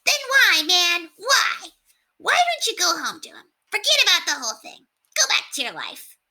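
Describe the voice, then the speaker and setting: Woman voicing a high, brash talking rat. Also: wildly swooping pitch, normal speed, bright, forceful tone, exaggerated voice. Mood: pleading. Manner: exclamatory, folksy, streetwise phrasing.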